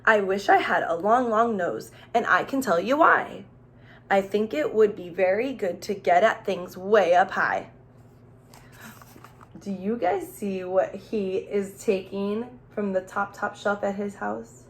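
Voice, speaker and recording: Female children's teacher for story time: medium-pitched, playful, expressive delivery, speaking directly to little listeners. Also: unhurried. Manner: expressive, playful, with gasps and exclamations; simple explanations and questions addressed to young children. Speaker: teacher reading aloud to children. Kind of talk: children's storybook read-aloud with questions and asides.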